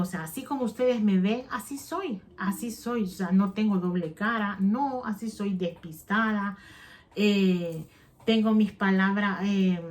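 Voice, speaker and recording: A middle-aged woman chats to camera for her vlog. She rambles warmly, restarts her sentences often, and keeps turning to her viewers directly. Her pitch sits in an ordinary middle range. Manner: rambling, chatty, self-correcting. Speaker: vlogger. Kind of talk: casual chat to camera.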